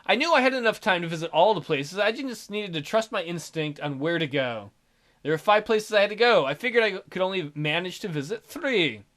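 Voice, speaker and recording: High-voiced, animated male let's player. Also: fairly quick. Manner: joking, sarcastic. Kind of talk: casual game commentary and reading aloud.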